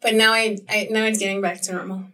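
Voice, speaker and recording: A young woman co-hosting a podcast with a slightly raspy voice, chatting in an easygoing, rambling way. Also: medium pitch, little pitch movement, quick pace, pushed and bright, distant mic, poor audio. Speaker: podcast co-host. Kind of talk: casual chat.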